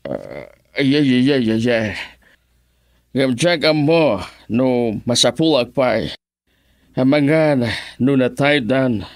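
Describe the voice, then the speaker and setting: A man acts out an elderly, polite returnee in a radio drama, speaking at a slow pace in a dry, close recording. He has an Ilocano accent, stumbles over repeated words and slips into English, sounding wistful. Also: mid-range voice, very animated, no room sound. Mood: weary, grateful. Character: old male returnee from Hawaii, polite, wistful.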